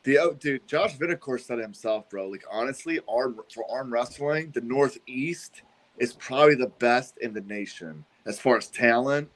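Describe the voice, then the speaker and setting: A man's voice: mid-range voice, normal speed, fairly bright tone, poor audio. A middle-aged man in casual chat who agrees often in short bursts and swears freely.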